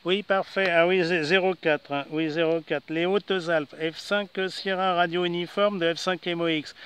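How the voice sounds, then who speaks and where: A man's voice: high voice, steady tone, normal speed, a bit bright, a little hiss or hum. A man from France chatting casually as an amateur radio operator, often ending phrases with little conversational tags. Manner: chatty, frequent "hein" tags. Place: outdoors.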